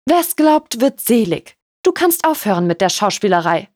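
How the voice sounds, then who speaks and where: A female narrator acts out a haughty older noblewoman from a novel. She speaks with venom and cutting condescension, her pitch pushed up high, in a close, dry recording. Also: animated, a little slow, dry recording. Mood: scornful. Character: haughty, venomous aristocratic older lady, hostile mother.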